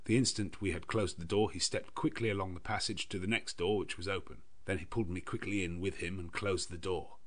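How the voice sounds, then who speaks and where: A man with a very low voice narrates a classic gothic novel. His delivery is measured and literary, that of an audiobook storyteller reading from a script. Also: steady tone.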